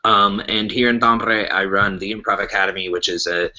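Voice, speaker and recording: A man's voice: fairly low pitch, fairly even intonation, brisk, sharp tone, dull audio. A man with an American accent talking casually as an interview guest over an internet call. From United States. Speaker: guest, improv teacher and performer. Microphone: online video call.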